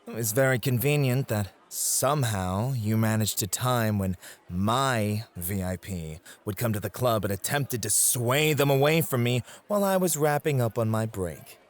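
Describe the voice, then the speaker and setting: A man's voice: mid-range voice, normal speed, hardly any pauses, close to an everyday voice. A man playing a suave, possessive nightclub host in an ASMR roleplay, pointedly polite and sardonic as he guards his territory. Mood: cool, accusing.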